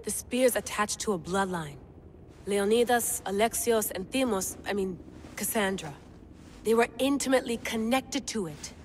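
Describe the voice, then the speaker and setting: An actress playing a confident, wry young tech-savvy field agent in a video game. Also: female voice, mid-range voice, moderate pace, slight background noise, close to an everyday voice. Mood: intense, sure. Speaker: game character, lead. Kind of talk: video game cutscene acting.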